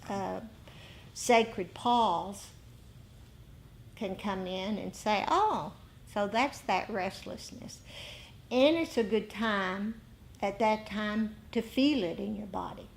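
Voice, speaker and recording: A woman teaches meditation in an informal dharma talk. Her pitch is average for a woman and her delivery is markedly slow. She is reflective and halting, often circling back over a phrase. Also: creaky, slight background noise. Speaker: meditation teacher leading a group.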